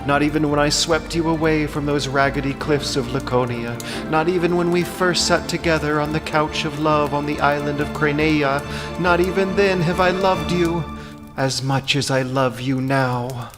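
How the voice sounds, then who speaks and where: A man's voice: a little high, steady tone, moderate pace, over music, pitched above the performer's own voice, natural voice. A man playing a vain, amorous Trojan prince, pleading and boasting in a declamatory, wooing way in an epic audio drama.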